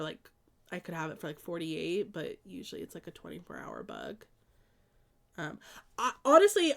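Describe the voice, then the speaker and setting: A young woman from the Midwest, stuffed up with allergies, chatting casually with viewers on a live stream and rambling with lots of ums and likes. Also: medium pitch. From American Midwest.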